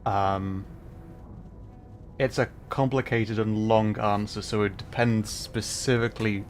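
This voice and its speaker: A man's voice: fairly low pitch, steady tone, slow, faint music behind. A young adult man chatting casually while streaming a game, rambling and correcting himself, with frequent laughs.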